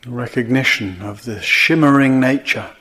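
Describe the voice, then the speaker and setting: A man teaching meditation, guiding gently and in a measured way, with pauses between short phrases. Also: mid-range voice. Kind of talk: guided meditation.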